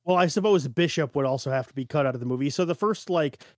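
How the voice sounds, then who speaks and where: A man's voice: fairly high pitch, fast talker, dry recording, clean studio sound. A man hosting a podcast, talking informally in a casual, conversational discussion. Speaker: podcast host.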